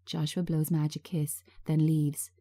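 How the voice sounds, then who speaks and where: A woman reads scripted scene descriptions as narrator. Her voice is slightly low and soft-edged, and she takes her time, measured from line to line. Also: little pitch movement. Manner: measured, present-tense scene reading.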